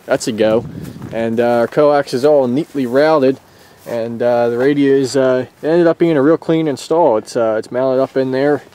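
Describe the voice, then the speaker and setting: A man casually explains hands-on work in a loose, rambling way, as the host of a how-to vlog recorded in and around a car. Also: medium pitch. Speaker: host of a car radio install video. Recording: steady background noise, outdoor and vehicle ambience.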